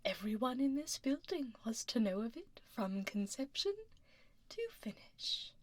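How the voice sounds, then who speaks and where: A woman playing a powerful, stern dragon lady CEO in an ASMR roleplay, speaking in a soft, half-whispered voice with controlled, politely menacing poise, close on a binaural microphone. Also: on the high side, animated, no echo. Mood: stern displeasure. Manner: deliberate, controlled, politely menacing office speech.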